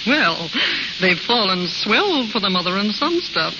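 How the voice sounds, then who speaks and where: A woman's voice: medium pitch, sing-song, measured pace, noisy background. Brassy mother figure, muffled old recording. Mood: brassy, needling. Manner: slangy, pushy. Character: brassy older-playing female crook posing as a genteel mother. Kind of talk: 1940s radio acting. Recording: dull old radio recording with loud music.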